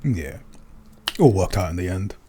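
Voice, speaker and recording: A man's voice: low voice, expressive, measured pace, darkish tone, a little hiss or hum. A man co-hosting a casual podcast, talking hesitantly with many ums and restarts. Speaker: podcast co-host and composer.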